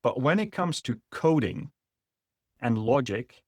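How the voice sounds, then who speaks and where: A man's voice: medium pitch, expressive, slow pace, a little mellow, dry recording, studio quality. A middle-aged man from the Netherlands with a Dutch accent, presenting a business webinar in an explanatory way. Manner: explanatory, with frequent fillers and restarts. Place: online webinar.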